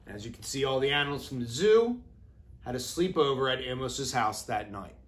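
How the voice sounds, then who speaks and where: A man in his thirties reading a children's storybook aloud with deliberate, expressive phrasing, as an educator does for young children. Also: medium pitch. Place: classroom, indoors.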